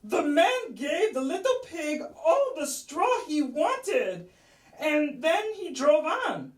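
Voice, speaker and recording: Lady reading a kids story, slow n playful, really up and down, roomy sound w soft music. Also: sits high, sing-song, far from the mic. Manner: dramatic, playful delivery with drawn-out words. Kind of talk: theatrical children's storytelling.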